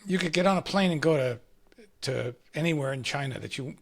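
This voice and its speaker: A male interview host asking plain, probing questions in a relaxed, casual way. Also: on the high side, a little fast, creaky.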